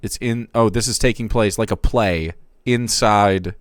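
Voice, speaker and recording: A man streaming, reading fan fiction aloud in a theatrical, comic way, shifting between hushed and loud. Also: lowish voice, fairly even intonation, a little slow, no echo, studio quality.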